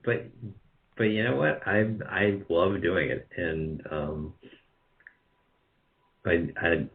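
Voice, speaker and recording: Low-voiced man, halting, dull lo-fi audio. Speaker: guest, radio drama producer. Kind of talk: casual interview conversation. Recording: dull, band-limited radio call audio.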